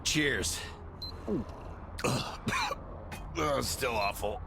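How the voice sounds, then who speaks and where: An actor playing a steady, loyal ex-Marine ranger in a video game, gruff and calm, in few words. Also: male voice, drawn-out words, background noise, exaggerated voice. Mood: dry. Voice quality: deep, gruff. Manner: calm, laconic, reassuring. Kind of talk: cinematic video game acting.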